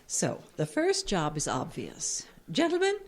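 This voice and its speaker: Actress as proper, very animated motherly leader. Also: low voice, measured pace, full character voice. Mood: inquiring, decisive. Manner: formal phrasing. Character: proper, motherly woman taking charge, composed. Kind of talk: audio drama acting.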